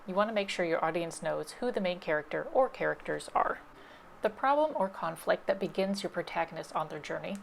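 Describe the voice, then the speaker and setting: A woman's voice: on the low side, creaky, a little hiss or hum. A woman library presenter giving scripted instructional narration, explaining things step by step.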